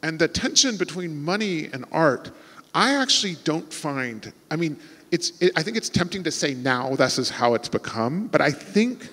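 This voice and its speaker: A man with an American accent from Texas, talking on a festival stage in a slightly raised voice. He thinks out loud, backing up and restarting sentences as he goes. His voice rings through a large hall with long reverb. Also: fairly high pitch, sing-song, moderate pace. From Texas, United States. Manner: thoughtful, rambling, with frequent restarts. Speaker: author on a festival panel. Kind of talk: conversational panel talk. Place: large festival venue before an audience.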